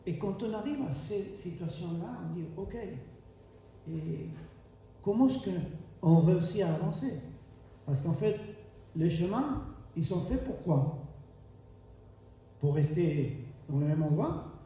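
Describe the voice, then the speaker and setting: A man's voice: sits high, expressive, a little slow, pauses often, dark tone. A man from Argentina with an Argentine Spanish accent, Spanish as his first language, preaching a sermon in an emphatic way, repeating key phrases and asking rhetorical questions. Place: church hall. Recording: echoey church hall, mic far off.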